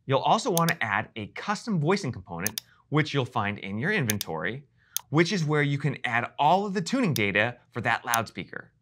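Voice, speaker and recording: Bright, animated American male training presenter. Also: mid-range voice, a little hiss or hum. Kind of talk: scripted instructional narration.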